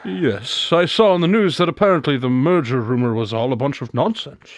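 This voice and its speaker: A male actor plays a shrewd private detective in a radio drama, smug and never ruffled. He teases in a measured, knowing way, with a mid-pitched voice and very varied intonation. The sound is dry. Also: animated, no echo, lightly acted. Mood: smug, teasing. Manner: wry, knowing, teasing, measured. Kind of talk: modern radio drama dialogue.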